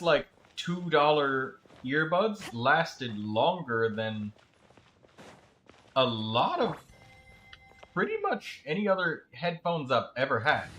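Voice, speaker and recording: A man chatting casually as a game streamer, telling a story in a rambling, halting way. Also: medium pitch, fairly bright tone. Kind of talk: casual livestream chat.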